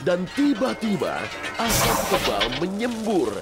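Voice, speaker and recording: A man's voice: high-pitched, wildly swooping pitch, measured pace. A man narrating a scripted children's story expressively. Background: music.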